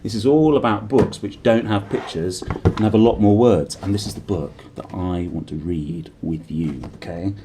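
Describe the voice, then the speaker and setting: A man's voice: fairly low pitch, normal speed, noisy background. A man hosting a read-aloud of a children's novel, speaking expressively with dramatic emphasis, as if straight to the listener. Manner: animated, dramatic emphasis, addresses the listener directly. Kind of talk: expressive storybook reading with chatty intro.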